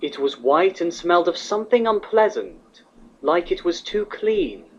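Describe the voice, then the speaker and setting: Male narrator, lighter high-ish pitch, scripted storytelling, dulled home recording. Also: sing-song, unhurried, lo-fi sound.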